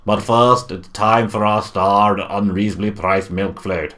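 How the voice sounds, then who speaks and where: A performer playing a blokey television motoring presenter in a comic radio play, making declamatory, drawn-out announcements. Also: sits low, steady tone, fairly bright tone, voice pushed down. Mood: showy.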